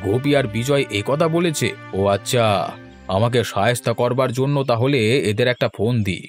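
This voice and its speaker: A man's voice: medium pitch, a little fast, soft background music, natural voice. A man playing a put-upon, scheming village husband in a cartoon fairy tale, complaining in an exclamatory, whining way. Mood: indignant.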